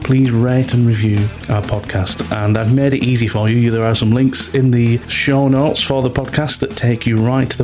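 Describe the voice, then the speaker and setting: A British man talking casually as the host of a podcast. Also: lowish voice.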